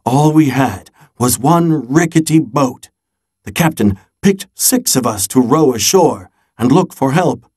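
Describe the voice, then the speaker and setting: Man reading as husky, slow-spoken old seafaring grandfather. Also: medium pitch, expressive, a little mellow. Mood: reminiscing. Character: old grandfather telling his seafaring adventure tale.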